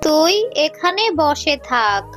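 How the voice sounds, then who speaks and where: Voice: sits high, very animated, takes it slowly, pushed and bright, full character voice. A performer voicing a worried, protective mother fox in a children's cartoon, speaking in a fretful, tender way. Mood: reassuring.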